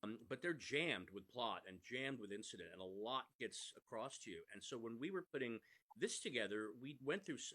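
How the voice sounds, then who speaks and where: Guy being interviewed, bright punchy voice, mid pitch, explains and repeats for emphasis. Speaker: podcast creator, interview guest. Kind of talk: conversational interview.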